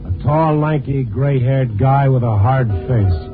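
A man's voice: mid-range voice, takes it slowly, music in the background, lightly acted. A man acting a world-weary radio noir reporter, tinny. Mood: brooding. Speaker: narrator and lead actor. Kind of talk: 1950s radio noir acting, first-person narration. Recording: tinny band-limited old radio recording.

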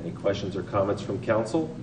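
A man chairing a council meeting, reading procedural motions in a brisk, routine way, recorded in a large council chamber. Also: on the low side, lively intonation. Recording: echoey chamber, far mic, background noise.

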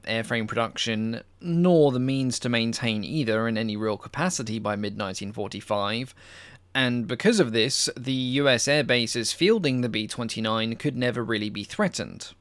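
A young man hosting a history channel Q&A, explaining military aviation in a measured, informative way with casual asides. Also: mid-range voice, a bit bright, clear, resonant tone, dry recording.

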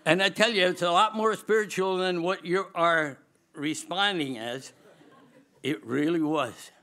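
A man's voice: sits high, a little slow. An older man with a Swedish accent preaching at a lectern microphone in a large auditorium, telling his story with humor and frequent laughter. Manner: lively, humorous, laughs often, gestures broadly. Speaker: chapel speaker, former dean. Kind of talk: storytelling chapel sermon.

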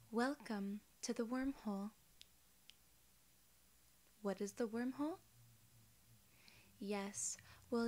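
Slow, soft-spoken alien gatekeeper played by a woman. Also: on the high side. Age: young adult. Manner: slow, soft-spoken, formal quaint wording. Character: polite, curious female alien gatekeeper in human form. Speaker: ASMR roleplayer. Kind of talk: ASMR roleplay, partly whispered.

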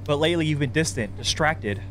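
A man voicing a possessive, softly threatening psychopath of thirty from a horror game, coaxing and sinister. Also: on the high side, fairly quick, a little hiss or hum, natural voice. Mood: coaxing, accusing. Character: menacing thirty-year-old male psychopath, possessive, calmly sinister. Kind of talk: reading horror game dialogue aloud.

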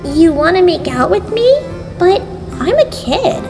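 Woman doing earnest scheming cartoon schoolgirl, super high lively voice, echoey reverb. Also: a little slow, heavily acted. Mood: awkward. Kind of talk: cartoon comic dub acting. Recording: long reverb, music behind.

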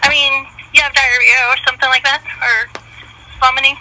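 A woman with a high voice talks casually over speakerphone as an employee taking a call. The line is noisy. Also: little pitch movement, a little fast, bright, forceful tone. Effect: phone speaker. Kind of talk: casual phone conversation.

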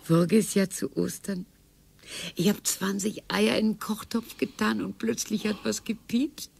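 An actress playing the sharp-tongued, sarcastic wife of a bored retiree in a radio play, with a husky, gravelly voice. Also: female voice, medium pitch, steady tone, measured pace, exaggerated voice. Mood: reminiscing. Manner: sarcastic and dismissive.

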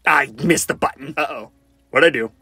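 A man's voice: mid-range voice, normal speed, pushed and bright, breathy edge, no echo. A man voicing a cocky, flirty, goofy wisecracking survivor for fan-made video game lines, in a playful, theatrical, quippy manner. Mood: sheepish, teasing. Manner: playful, theatrical, quippy, often sing-song.

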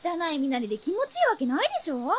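A woman playing a bossy but caring teenage girl who mothers her companions in a Japanese radio drama, brisk and scolding. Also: high voice, huge pitch swings, background noise. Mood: scolding, exasperated.

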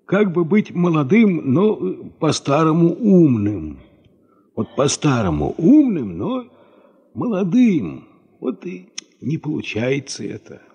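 Radio play role: wise old tundra herder, unhurried, mellow, swinging intonation, muffled seventies recording. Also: male voice, on the high side, sing-song, gravelly, breathy edge, full character voice. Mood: earnest, admonishing. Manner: halting, folksy, philosophical musing. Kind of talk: Soviet radio drama acting.

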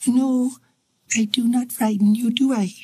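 A woman's voice: fairly even intonation, measured pace, mellow, slightly rough, rough recording. A woman playing an eerie, sly little girl spirit. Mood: coy. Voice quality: high, musical.

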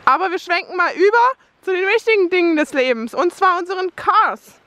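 A woman's voice: very high-pitched, a little fast, bright, forceful tone. A young woman giving a playful mock tour of a campsite while filming on a phone, outdoors. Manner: jokey, playful tour-guide tone. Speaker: friend filming. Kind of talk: mock presenter commentary.

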